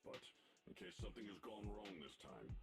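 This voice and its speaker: An actor playing a steady, protective male martial mentor in a story game, speaking in a measured, serious way. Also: lowish voice, gravelly, loud music behind the voice. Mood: serious, thoughtful. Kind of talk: animated game story dialogue.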